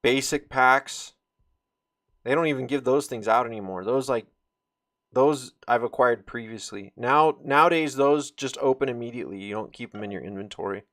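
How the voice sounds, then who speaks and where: A man's voice: mid-range voice, normal speed, fairly bright tone. Man casually walking through a game. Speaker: game guild host giving a walkthrough. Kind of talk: informal screen-share game tutorial.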